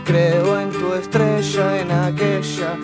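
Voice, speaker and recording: Woman singing slowly over guitar. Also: mid-range voice, level tone. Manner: slow heartfelt singing, laughs at a slip. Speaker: singer guest. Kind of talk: live acoustic song with guitar. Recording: guitar music behind the voice.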